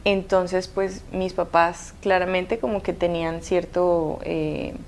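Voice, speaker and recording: A woman's voice: fairly low pitch, fairly even intonation, slow, slight background noise. A woman in her thirties with a mixed Cuban and Colombian accent, telling her life story as an interview guest, hesitant with many fillers and restarts. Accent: mixed Cuban and Colombian Spanish. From Cuba.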